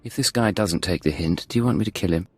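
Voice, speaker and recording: Deep-voiced cool operative. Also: male voice, quick pace, darkish tone, dry recording. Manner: controlled, matter-of-fact. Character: cool, businesslike male hired operative. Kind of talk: video game dialogue.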